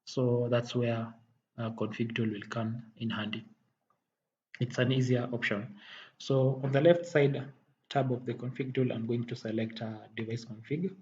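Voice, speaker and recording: Halting male tech instructor, dull muffled recording. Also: mid-range voice, warm tone. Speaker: technical instructor. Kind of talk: software tutorial walkthrough. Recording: dull muffled audio, faint background noise.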